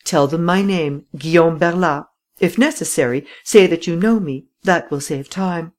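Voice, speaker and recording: A woman reading an audiobook voices a clever gentleman thief who gives brisk, imperious instructions in a slightly raised voice. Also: lowish voice, lightly acted. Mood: commanding. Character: cool, commanding gentleman thief, clever and persuasive.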